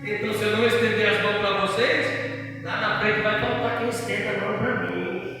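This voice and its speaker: Pastor in his forties, high, fervent, half-singing sermon. Distant mic in an echoing hall, music under. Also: fairly even intonation, moderate pace, gravelly. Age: 40s. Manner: fervent preaching that swings into half-sung, shouted lines. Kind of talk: Pentecostal sermon. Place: church hall. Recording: echoey church hall heard from far off. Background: music playing.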